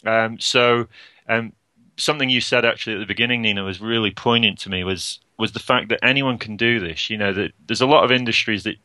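Bright-voiced man, hesitant and low. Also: brisk, no echo. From Scotland, raised in Portugal. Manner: hesitant, many ums and restarts. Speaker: podcast guest. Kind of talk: casual interview chat.

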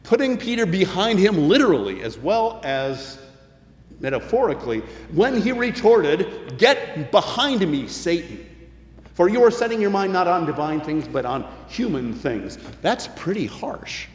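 A man preaching a sermon as a pastor, phrasing his points deliberately and emphatically with some colloquial turns. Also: high-pitched, very animated. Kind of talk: sermon with scripture commentary. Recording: echoey hall, long reverb, dull sound.

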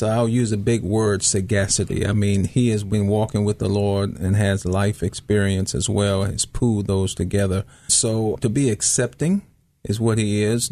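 A man who is a pastor, speaking in a measured, thoughtful way in an interview and laughing easily. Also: lowish voice, darkish tone. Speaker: pastor, guest. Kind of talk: casual interview talk. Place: studio room.

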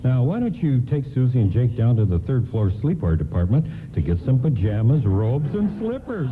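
A man's voice: medium pitch, sing-song, normal speed, close to an everyday voice. An older man acting in a nostalgic radio play as a patient, avuncular, reassuring 1950s department store doorman. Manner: avuncular, reassuring, hesitating politely. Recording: lo-fi hissy radio play recording.

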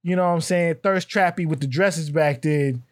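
High-voiced animated man chatting. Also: normal speed. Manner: animated, laughs often, acts out quotes. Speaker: podcast co-host. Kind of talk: casual chat.

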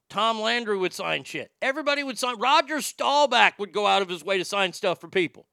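A man's voice: fairly high pitch, sharp tone, clean tone, no echo, clean studio sound. A man in his fifties from Texas, a radio host telling profane, rambling stories in a casual monologue. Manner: profane, rambling storyteller with many restarts.

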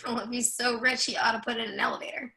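A woman's voice: fairly high pitch, level tone, fast, vocal fry, pushed and bright, poor audio. A woman playing a sardonic, wisecracking model hopeful in a stage play reading, with a dry, sarcastic delivery. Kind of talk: readers theatre play reading.

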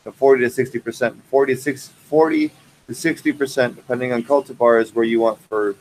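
A man's voice: medium pitch, fairly even intonation, a little fast, a little mellow, slight background noise, low-quality recording. A man hosting a casual podcast, explaining technical details step by step from a large indoor grow facility. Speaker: podcast host.

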